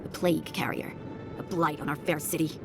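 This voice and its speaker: A woman's voice: low voice, fairly even intonation, brisk, over loud music. A woman voicing a theatrical female bandit boss in a video game, taunting and grandiose as she threatens. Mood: menacing.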